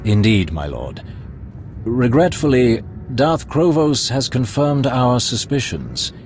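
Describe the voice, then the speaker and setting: A man's voice: mid-range voice, sing-song, darkish tone. An actor playing a formal, deferential male Imperial spymaster in a game cutscene. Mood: reserved. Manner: formal, courteous, deliberate. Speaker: advisor. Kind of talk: video game cutscene acting. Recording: music score behind.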